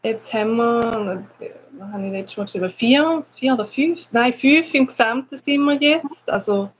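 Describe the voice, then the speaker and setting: A woman's voice: on the high side, normal speed, slight background noise, rough recording. A woman from Schaffhausen with a Swiss German accent, a class teacher talking casually and often affirming with hesitant fillers. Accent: Schaffhausen Swiss German with some Zurich words. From Schaffhausen, Switzerland. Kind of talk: casual chat.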